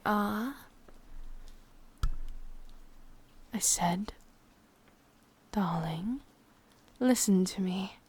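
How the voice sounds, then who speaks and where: Woman as a slow, whispering English yandere witch. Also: mid-range voice, darkish tone, exaggerated voice. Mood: gently commanding. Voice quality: breathy, husky. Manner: breathy whispering, slow intimate delivery. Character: sadistic, possessive yandere witch, lovestruck and wounded. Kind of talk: whispered ASMR roleplay. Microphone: close microphone.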